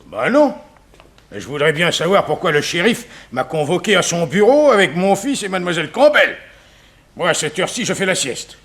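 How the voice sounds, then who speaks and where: A man's voice: sits high, big pitch swings, normal speed, a bit bright, heavily acted. An actor playing a hateful, indignant father in a 1960s French radio drama, blustering as he talks. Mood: puzzled, grumbling.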